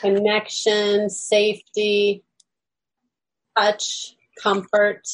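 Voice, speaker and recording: A woman's voice: mid-range voice, completely flat delivery, very slow, rough recording. A woman co-hosting an online workshop, reading the participants' typed answers aloud one item at a time. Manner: lists items one by one. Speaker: co-host reading out chat responses.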